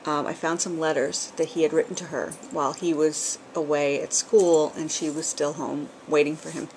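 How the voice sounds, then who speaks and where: A woman's voice: low voice, level tone, normal speed, a little mellow, slight background noise, low-quality recording. Chatty middle-aged New England woman. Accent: New England, with some Southern. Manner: chatty, rambling, with frequent ums and small laughs. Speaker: vlogger. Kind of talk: casual chat. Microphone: camcorder built-in mic.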